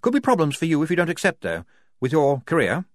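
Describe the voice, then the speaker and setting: Man playing a crisp, high-pitched army major. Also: wildly swooping pitch, fast talker, no echo, studio quality. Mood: probing. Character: crisp, reasonable male army major, pragmatic legal officer.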